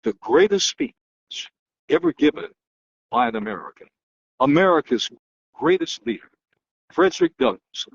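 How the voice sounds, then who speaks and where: A man giving an emphatic, declamatory dramatic reading in the manner of a public orator, with weighty pauses and a husky voice. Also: medium pitch, big pitch swings, a little hiss or hum. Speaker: political analyst and host. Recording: dull, lo-fi muffled sound.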